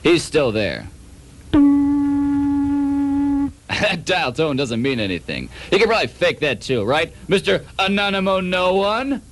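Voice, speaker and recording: A man playing a pompous, dim-witted, short-tempered private detective in a comedic radio drama, melodramatic, shifting between deadpan narration and ranting. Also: sits high, unhurried, long pauses, full character voice, low-quality recording. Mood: smug.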